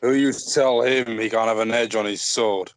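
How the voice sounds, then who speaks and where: A man's voice: fairly low pitch, steady tone, a little fast, no echo, natural voice. Man reading a blunt, menacing knight, dry sound. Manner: blunt, terse. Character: menacing, contemptuous hardened knight and bodyguard. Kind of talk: amateur script table read.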